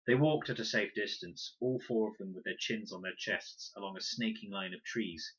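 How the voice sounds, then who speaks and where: A man reading a children's audiobook in an expressive, dramatic storytelling manner. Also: lowish voice, moderate pace, slightly rough, dull audio. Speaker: reader.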